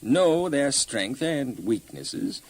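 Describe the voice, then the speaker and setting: A cunning, ruthless army general in an audio Bible drama. His medium-pitched voice moves up and down expressively as he carefully and obsequiously addresses his king. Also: sing-song, very slow, no echo, full character voice. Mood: cautious, deferential.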